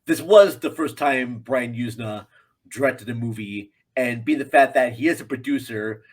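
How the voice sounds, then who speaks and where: Man chatting about film, halting delivery. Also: medium pitch, husky. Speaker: podcast guest film reviewer. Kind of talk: casual film discussion.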